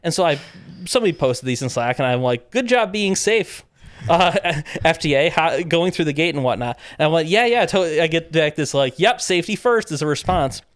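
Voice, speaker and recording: Man telling a lively story on a podcast. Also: on the high side, brisk, clean tone, no echo, studio quality. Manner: lively anecdotes, acting out quoted exchanges with laughs. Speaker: podcast guest, robotics event coordinator. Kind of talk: casual storytelling chat.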